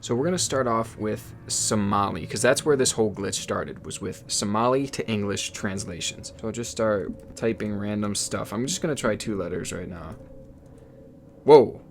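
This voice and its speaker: A man whose first language is Igbo, hosting a casual vlog in an excitable, swearing, often disbelieving way. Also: fairly low pitch, moderate pace, slight background noise. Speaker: YouTube host.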